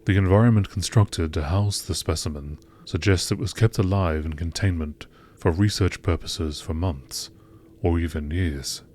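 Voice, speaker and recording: Low-pitched man, documentary narration. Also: normal speed, darkish tone, a little hiss or hum. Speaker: narrator reading game lore entries. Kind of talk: scripted nature documentary narration.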